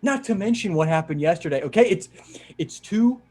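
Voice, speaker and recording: A man's voice: high voice, animated, brisk, a little mellow. A man acting an earnest, worried husband and survivor leader in a horror comic table read, urgent and pleading. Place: remote video call read. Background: music under the voice.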